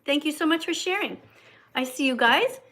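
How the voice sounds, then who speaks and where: Woman streamer, fairly high, bright and forceful, chatty with viewers. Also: animated, moderate pace. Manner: chatty, reads viewer comments and thanks donors. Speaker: livestream host. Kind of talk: casual livestream chat.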